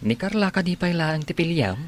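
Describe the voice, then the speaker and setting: A man's voice: a little high, lively intonation, fast talker, slight background noise, natural voice. An actor playing an inquisitive male friend and confidant in an Ilocano radio drama. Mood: probing.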